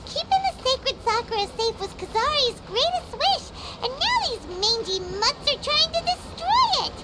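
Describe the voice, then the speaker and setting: A woman voicing a small, excitable, childlike female fairy companion in a video game, chatty, cheeky and exclamatory, with a squeaky voice. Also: normal speed, heavy background noise. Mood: indignant. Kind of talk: video game character acting. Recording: loud game sound effects behind.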